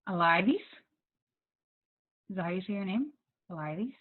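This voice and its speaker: A woman's voice: fairly low pitch, expressive, measured pace. Chatty American woman on a tinny call. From United States. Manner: chatty, with many ums and likes. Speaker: guest English teaching assistant. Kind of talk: casual conversation with students. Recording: tinny phone-like video call audio.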